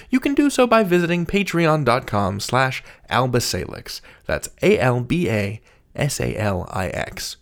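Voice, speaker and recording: A man's voice: mid-range voice, vocal fry. A man hosting a podcast, reading scripted announcements and credits. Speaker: podcast host.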